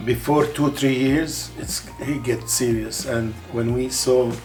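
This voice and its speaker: A man's voice: mid-range voice, steady tone, slow pace, darkish tone, faint music behind, poor audio. A man speaking as a parent, answering interview questions in a plain-spoken, matter-of-fact way. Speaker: parent of the player.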